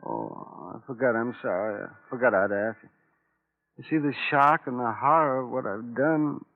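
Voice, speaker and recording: An actor playing a distraught, dependent husband stricken by guilt, faltering and pleading in a 1950s radio drama. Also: male voice, mid-range voice, animated, normal speed, creaky. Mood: dazed, pleading. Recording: noisy, tinny vintage radio broadcast.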